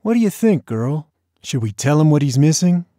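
Man playing bossy, animated teasing boy. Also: fairly high pitch, normal speed, dry recording, studio quality, voice pushed up. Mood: mocking. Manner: mock-dramatic, taunting. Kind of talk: audiobook character dialogue.

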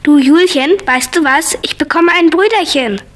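Small girl friend, high voice, excitable and headstrong, vintage kids' audio drama. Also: normal speed. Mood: excited. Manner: excited, emphatic. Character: excited, stubborn little girl Eva. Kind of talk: 1970s German children's radio play acting.

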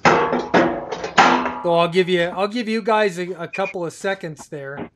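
A middle-aged man hosting a live video chat, courteous and halting as he talks with his guests. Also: high voice, loud music behind the voice. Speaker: live show host. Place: small home office, indoors.

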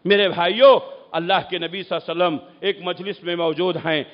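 A man's voice: sits high, steady tone. A man preaching an Islamic sermon, exhorting listeners emphatically with rhetorical questions and repeated phrases. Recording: muffled, long echoing hall reverb.

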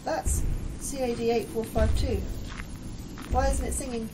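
An actress playing an anxious, sceptical astrobiologist in a horror audio drama, terse and questioning. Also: female voice, a little high, steady tone, measured pace, distant mic, background noise, natural voice. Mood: uneasy, curious.

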